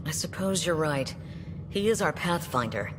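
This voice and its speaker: A woman's voice: low-pitched, animated, normal speed, long reverb tail, background noise. A woman playing a firm, protective starship captain in a cinematic video game. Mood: resigned, firm.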